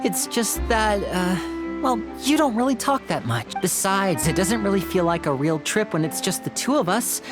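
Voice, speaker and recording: A polite young man who works as a stage magician, voiced by a woman, in anime game style. He is composed and easygoing, with animated intonation at a middle pitch for a woman, over clear music. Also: normal speed, lightly acted. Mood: flustered. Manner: courteous, composed.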